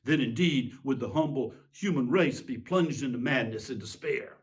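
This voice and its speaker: A man's voice: mid-range voice, moderate pace, a little hiss or hum, close to an everyday voice. A man playing a weary, self-pitying tyrant king in a theatrical monologue, fussy, pompous and plaintive. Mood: anxious arguing. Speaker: reader giving a dramatic reading. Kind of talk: theatrical dramatic monologue reading.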